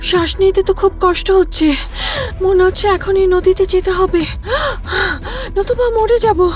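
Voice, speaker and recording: A woman's voice: very high-pitched, steady tone, measured pace, a little mellow, pitched above the performer's own voice. A woman voicing a young mermaid daughter-in-law in a cartoon, pleading yet defiant. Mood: distressed.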